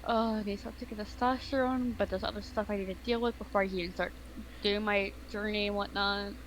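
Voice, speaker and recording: A woman's voice: medium pitch, fairly even intonation, quick pace, slight background noise, low-quality recording. A woman chatting casually on a voice call, rambling with fillers and small laughs. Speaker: partner calling in on voice chat. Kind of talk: casual chat.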